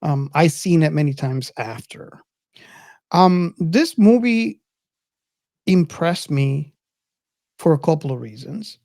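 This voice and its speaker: A man's voice: on the high side, expressive, slow, vocal fry, long pauses, dark tone, dry recording, studio quality. A middle-aged man co-hosting a podcast in casual film discussion, reflective and often searching for his words. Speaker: podcast co-host.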